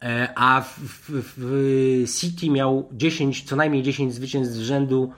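A man talking through football opinions as a podcast panellist, in a halting, digressive way with many self-corrections. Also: medium pitch, fairly even intonation, a bit bright. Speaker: sports journalist and podcast panellist. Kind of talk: animated football discussion.

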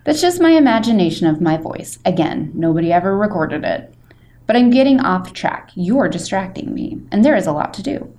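A woman's voice: mid-range voice, sing-song, normal speed, warm tone. A woman reading as a short, wisecracking eighth-grade boy, chatty, theatrical and self-deprecating, in a storybook read-aloud. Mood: wry, theatrical. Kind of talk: expressive first-person audiobook-style reading. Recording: faint background noise.

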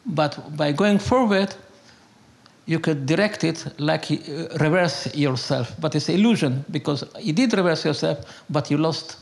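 Older Hungarian man, halting and gravelly. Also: on the high side. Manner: halting, broken English, emphatic, repetitive. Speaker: interviewee telling his life story. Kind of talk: unscripted conversational storytelling and philosophizing.

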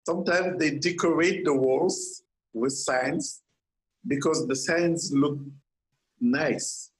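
A man's voice: on the high side, slow, poor audio. A man from Senegal, an architect, answering interview questions earnestly, with frequent restarts and repeated words.

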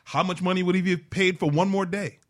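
Man, 40s, slightly high voice, reflective motivational guest. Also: fairly even intonation, fairly quick. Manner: reflective, leans on "right?" and "you know". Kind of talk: motivational talk.

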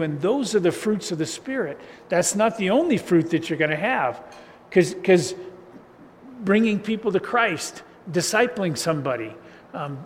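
A man preaching a casual sermon as a pastor, with a loud voice and a loose, chatty style full of chuckles and restarts. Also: sits high. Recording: reverberant hall, faint ambient noise.

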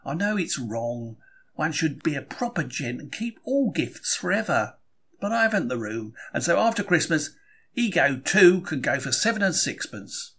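Animated, husky cheeky 1930s bookseller diarist read by a man. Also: a little high, normal speed, heavily acted. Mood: opinionated, chatty. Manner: colloquial, exclamatory, opinionated. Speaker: reader of a diary. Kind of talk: expressive scripted audiobook-style reading.

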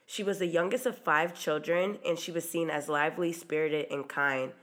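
A woman's voice: sits low, steady tone, moderate pace, fairly bright tone. A woman hosting a true crime podcast, narrating in a casual, chatty way with occasional ums, her voice stuffy from a cold. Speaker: podcast host.

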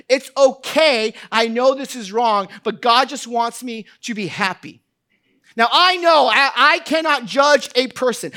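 Man, pastor, high voice, bright, rambling with fillers, gets loud and emphatic. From New England. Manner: rambling, self-deprecating storytelling with many fillers, building to emphatic preaching. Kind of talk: sermon.

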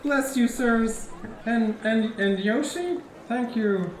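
A woman's voice: on the high side, slow pace. A woman playing a desperate, hesitant beggar in a comic radio play, pleading in an echoey, noisy room. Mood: grateful, hesitant. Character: desperate, grateful beggar sinking in quicksand.

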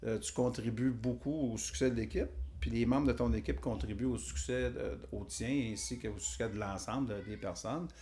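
A man's voice: mid-range voice, normal speed, few pauses, mellow, slight background noise. A man with a Québécois French accent hosting an interview, asking questions with many hesitations and fillers. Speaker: podcast host. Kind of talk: podcast interview.

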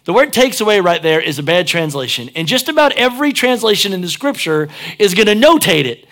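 A man's voice: high-pitched, big pitch swings, a little fast, fairly bright tone. A pastor preaching a sermon, chatting and joking, then driving his points home with emphatic peaks.

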